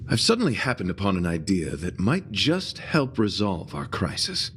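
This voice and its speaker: An actor playing a noble, devoted knight in a video game, speaking with formal, florid, solemn chivalry. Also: male voice, low voice, wildly swooping pitch, moderate pace, fairly bright tone, slight background noise, exaggerated voice. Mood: courteous resolve. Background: prominent music.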